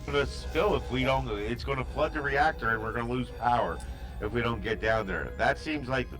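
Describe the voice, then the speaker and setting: A man narrating a tabletop role-playing game as its game master, voice at an average pitch for a man. He thinks aloud as he goes, with lots of fillers and restarts. Faint music plays quietly behind him. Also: fairly quick.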